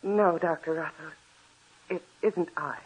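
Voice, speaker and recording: An actress playing an anxious young wife in a 1940s radio drama, earnest and pleading. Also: female voice, low voice, a little slow, breathy edge, exaggerated voice. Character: anxious young married woman, devoted wife. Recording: muffled old radio recording.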